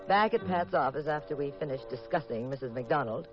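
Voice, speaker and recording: An actress playing a detective's sharp, teasing wife in a 1950s radio mystery, with an arch, sardonic delivery. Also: female voice, deep voice, lively intonation, brisk, over music. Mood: wry narration. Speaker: lead actress and narrator. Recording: muffled vintage radio recording.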